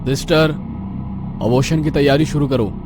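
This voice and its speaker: A man playing a curt, domineering doctor husband in an animated horror story. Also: fairly high pitch, normal speed, noisy background, close to an everyday voice. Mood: stern, angry. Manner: curt, commanding.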